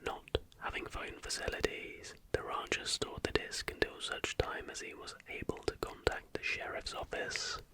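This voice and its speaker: ASMR narrator needed: fully whispered, breathy delivery of scripted factual material in short measured phrases. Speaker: ASMR video host.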